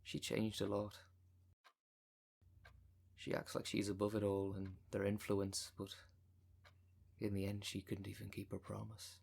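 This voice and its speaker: Man as a smooth scheming cult lady, low voice, formal, polite, condescending, audio roleplay. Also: monotone, a little slow, pauses often, darkish tone, full character voice. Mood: polite, slightly bitter. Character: smooth, officious, scheming female cult recruiter.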